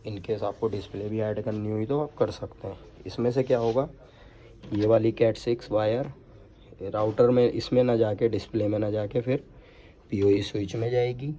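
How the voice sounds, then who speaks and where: A man's voice: lowish voice, fairly even intonation, normal speed, warm tone, slight background noise. A man giving an unscripted, step-by-step hands-on installation demo, mixing in English technical terms. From India. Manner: step-by-step explaining with English technical words mixed in. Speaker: product installation demonstrator.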